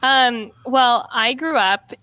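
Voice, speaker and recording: Young woman teacher guest, bright and a bit high, chatty with many fillers, dry close mic. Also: takes it slowly, dry recording, highs cut off. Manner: chatty, fills talk with "like" and "um", laughs often. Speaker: middle school teacher, guest. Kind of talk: casual interview chat.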